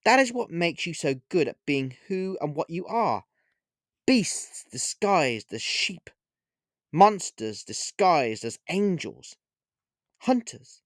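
A man voicing a scornful, playful female witch in an audiobook, mocking and theatrical, with drawn-out emphatic phrasing. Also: on the high side, big pitch swings, a little mellow, clear, resonant tone, dry recording, clean studio sound, voice pushed up. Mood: accusing, disgusted. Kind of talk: audiobook character voice.

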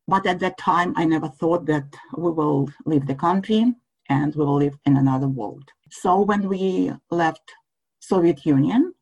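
A middle-aged woman with a Russian accent tells her story with earnest, reflective care. Her voice sits a little low and sounds husky. She leaves small grammar slips in as she goes. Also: darkish tone, low-quality recording. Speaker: guest. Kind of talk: personal storytelling.

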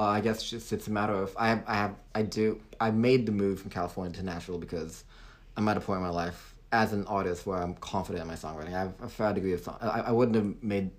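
A young man from Hong Kong with a light British-tinged American accent, a singer-songwriter guest talking haltingly in a casual interview. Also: low-pitched. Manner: halting, many restarts and fillers.

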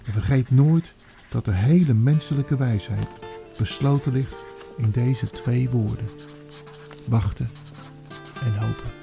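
An actor playing a remorseful old army commander counselling his son, in a solemn, deliberate radio drama monologue. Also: medium pitch, gravelly, music in the background. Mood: tender, solemn. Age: older.

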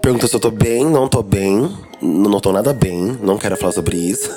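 Hoarse, campy Brazilian man hosting a podcast. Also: lowish voice, brisk, over music. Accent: Brazilian Portuguese. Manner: campy, playful and jokey. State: hoarse from a cold. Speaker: podcast host in drag persona. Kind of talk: casual podcast chat.

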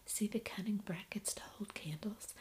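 A woman's voice: medium pitch, normal speed, a little mellow, natural voice. A woman voicing an eldest sister, a young woman, in a whispered storybook reading. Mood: admiring.

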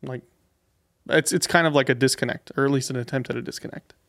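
A man's voice: medium pitch, steady tone, fast, vocal fry, fairly bright tone. A man talks casually as a guest on a podcast. His delivery is self-deprecating and a little nervous, with an informal conversational flow. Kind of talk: casual chat.

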